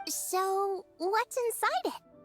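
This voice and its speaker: A woman's voice: very high voice, expressive, drawn-out words, soft background music, full character voice. A woman voicing a cheerful, enthusiastic young girl chef in a video game. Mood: curious. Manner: bright-eyed, enthusiastic.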